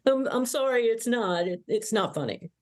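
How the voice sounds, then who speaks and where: A woman playing a brash, teasing young starship officer with a blunt manner in an animated sci-fi comedy table read. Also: a little high, moderate pace, natural voice. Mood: amused.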